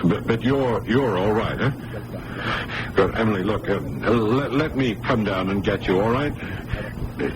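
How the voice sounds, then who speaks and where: A man acting in a 1980s radio drama as an earnest doctor who researches the paranormal and gets halting and rattled. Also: low voice. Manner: halting, stammering under pressure. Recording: noisy old radio broadcast recording.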